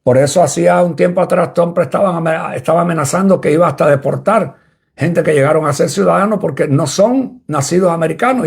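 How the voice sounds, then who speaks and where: A man's voice: fairly high pitch, vocal fry, darkish tone, breathy edge. A man from Cuba, preaching a sermon and repeating points as he explains them to listeners. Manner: repetitive, explanatory preaching that addresses listeners directly. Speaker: apostle preaching.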